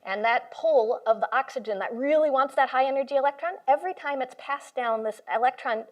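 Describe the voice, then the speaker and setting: Woman, science lecture, fairly high pitch, enthusiastic, everyday comparisons, room audience. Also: fairly quick. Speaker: computational biologist giving a lecture. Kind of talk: informal lecture to a live audience. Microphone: handheld or stand mic, moved closer at one point.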